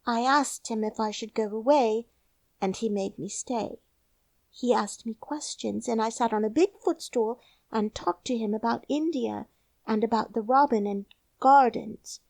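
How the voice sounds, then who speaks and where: A woman's voice: a little high, measured pace, gravelly, a little mellow, no room sound, pitched above the performer's own voice. A woman voicing an earnest, outspoken young girl in a storybook reading.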